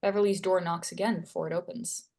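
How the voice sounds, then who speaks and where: A woman narrates a screenplay at a table read. Her voice is a bit low as she reads out stage directions and scene headings in a scripted style. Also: steady tone, quick pace, creaky, a little mellow.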